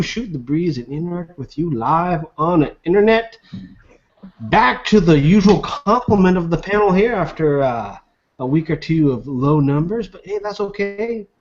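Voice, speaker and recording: An upbeat man hosts a live online hangout, chatting loosely with plenty of filler words. His voice sits a little high. The webcam audio sounds dull and lo-fi. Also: a little mellow, slight background noise. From Nova Scotia, Canada. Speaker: host. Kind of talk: casual live web show hosting. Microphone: webcam video call. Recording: dull lo-fi video call audio.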